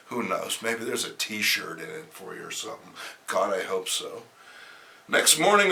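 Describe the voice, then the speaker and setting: A man's voice: medium pitch, sharp tone, far from the mic. A man hosting a dramatic comic book reading, theatrical, with wry, sardonic asides.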